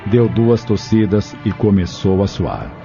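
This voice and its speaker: Low, mellow male narrator. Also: very animated, a little slow, faint music behind. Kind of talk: scripted audio novel narration. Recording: dull lo-fi narration recording.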